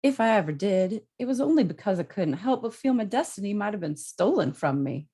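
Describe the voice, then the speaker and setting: Woman as modest, wistful superhero, earnest and conversational, animated intonation, table read. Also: medium pitch, a little fast, slightly rough. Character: earnest, self-doubting young male superhero, modest and wistful. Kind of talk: amateur script table read.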